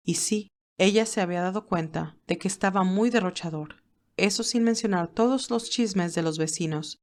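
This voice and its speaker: A woman reading scripted story narration as an audiobook narrator. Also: on the low side.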